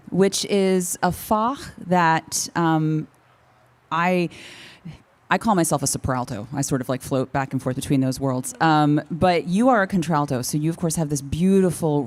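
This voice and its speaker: A woman's voice: low voice, lively intonation, unhurried, a little mellow. A woman hosting a casual interview, chatty and enthusiastic in the way she puts questions. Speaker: interviewer, host.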